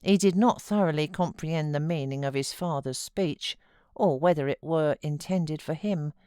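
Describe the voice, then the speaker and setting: A woman with a British accent giving measured, expressive literary narration as an audiobook reader. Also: low-pitched, clear, resonant tone, no room sound, studio quality.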